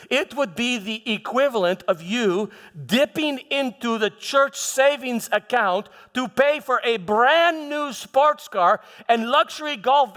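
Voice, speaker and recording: A man playing a proud, vengeful Roman governor in a theatrical monologue, speaking emphatically with halting repetitions and dramatic pauses. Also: high-pitched, fairly bright tone. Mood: gloating, wry. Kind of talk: dramatic first-person monologue in church. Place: church hall, live performance. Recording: echoey hall with background music.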